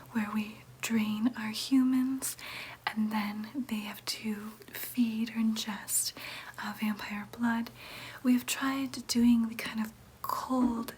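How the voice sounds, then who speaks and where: A woman playing a gentle, reassuring vampire nurse in an ASMR roleplay, speaking in a soft, breathy whisper with careful, soothing explanations. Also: a little high, slight background noise, rough recording.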